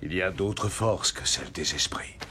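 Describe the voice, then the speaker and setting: Deep, expressive wise elder. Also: male voice, slight background noise. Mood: gently advising. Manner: measured, sage-like counsel. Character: wise, solemn male elder who speaks with spirits. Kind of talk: animated children's series acting.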